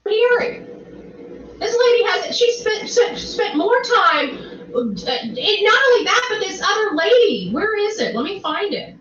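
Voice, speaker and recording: A woman giving rambling livestream commentary, halting and repeating herself for emphasis. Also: very high-pitched, big pitch swings, fairly bright tone. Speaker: online commentator. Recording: roomy, muffled, faint background noise.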